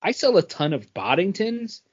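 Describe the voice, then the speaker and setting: A man's voice: high voice, sing-song, normal speed, breathy edge. A man co-hosting a podcast, giving opinionated but qualified takes in a casual, conversational way. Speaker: podcast co-host. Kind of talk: casual beer review and chat. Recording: dull, band-limited audio.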